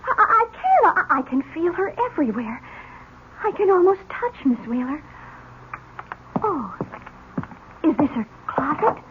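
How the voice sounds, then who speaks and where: Radio mystery: delinquent orphan girl, theatrical and sly, piercingly high, on a muffled hissy recording. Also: big pitch swings, a little slow, pauses often. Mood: entranced, eager. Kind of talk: old-time radio drama acting.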